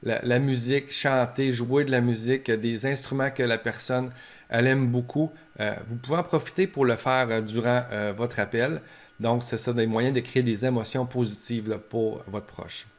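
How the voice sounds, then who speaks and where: A man's voice: medium pitch, steady tone, moderate pace. A man with a Québécois French accent giving an informal instructional talk as a professor, explaining in colloquial, practical language. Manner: explanatory, colloquial, acts out short examples. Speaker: professor giving advice to family caregivers.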